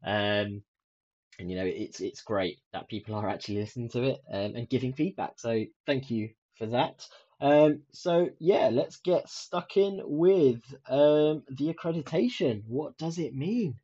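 A young man from the UK hosting his own podcast in a soft, soothing voice, rambling with many ums and restarts. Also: mid-range voice, a little slow, slightly rough. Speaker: podcast host. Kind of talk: casual solo podcast chat. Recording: dull lo-fi sound.

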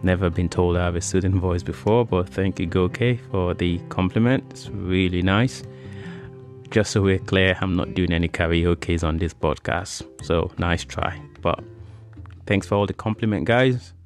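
A man's voice: low-pitched, normal speed, faint music behind. A man hosting a podcast in an informal, chatty, conversational way. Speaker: podcast host.

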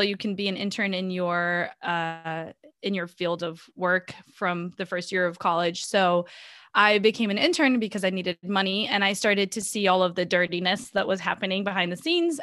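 A young woman in her twenties, from Brazil, talks casually on a dry, close podcast mic. She is chatty and full of quick asides. Her pitch sits in the middle of the usual range for a woman. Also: fairly bright tone, clear, resonant tone, dry recording. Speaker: podcast guest coach. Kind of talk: casual interview chat.

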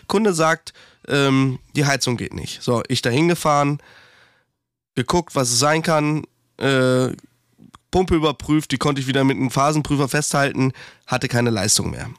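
A man's voice: medium pitch, smooth, no room sound. German guy with a cold rambling about fixing a pump, swears a lot, dry close mic. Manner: chatty, swearing, rambling with restarts. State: has a cold. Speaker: podcast co-host, heating technician. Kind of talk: casual podcast chat.

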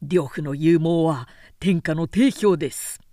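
A woman's voice: deep voice, takes it slowly, dark tone, dry recording, clean studio sound. A woman reading the part of a shrewd, scheming male adviser who gives formal, calculating counsel in a historical story. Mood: calculating, persuasive.

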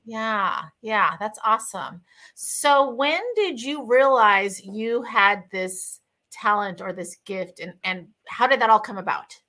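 A woman's voice: medium pitch, slow pace, pushed and bright. A woman hosting a casual interview, enthusiastic and chatty, with many fillers and restarts. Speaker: host.

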